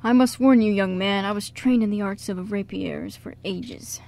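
A woman's voice: medium pitch, moderate pace, vocal fry, warm tone, lightly acted. Woman playing a jaunty, theatrical stage director. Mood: playfully grand. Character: genial, theatrical male stage director, playfully grand. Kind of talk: amateur radio drama acting.

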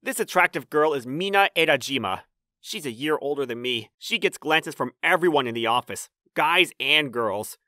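Woman voicing a flustered, excitable young male office worker. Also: low-pitched, normal speed, no echo, clean studio sound. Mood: earnest narration. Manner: easily flustered, self-deprecating, exclamatory. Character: flustered, timid twenty-nine-year-old male office worker, narrating his life. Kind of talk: manga dub narration and dialogue.

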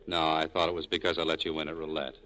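An actor playing a guarded, cynical American cafe owner in a terse, deadpan manner, in 1940s radio style. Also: male voice, very deep voice, quick pace, dull audio. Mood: wry, cool. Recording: 1940s radio broadcast recording.

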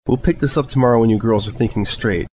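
A man's voice: mid-range voice, quick pace, a little mellow, slight background noise, close to an everyday voice. A man voicing a brash, loyal young female pegasus pony with a defiant, clipped way of talking. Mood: hostile, clipped.

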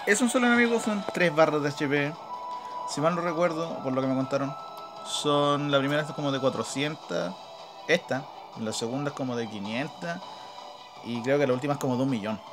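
A man's voice: a little high, a little fast. A man with a Chilean Spanish accent giving casual, informal gameplay commentary, thinking aloud as he plays. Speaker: gaming video host. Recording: game music behind the voice. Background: game music.

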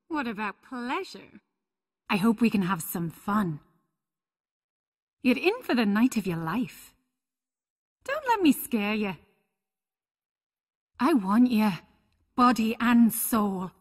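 A seductive succubus from a fighting game, high-voiced and very slow to let her words go. She teases and flirts with playful menace, her pitch swooping freely. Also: exaggerated voice. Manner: sultry, flirtatious, drawn-out delivery. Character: seductive, playful, teasing succubus demon woman. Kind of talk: video game fighting character voice clips.

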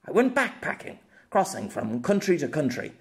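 A man's voice: on the high side, big pitch swings, normal speed, gravelly. A man playing an awkward, good-natured male storyteller explaining himself in an audiobook, hesitant and rambling. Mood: reflective.

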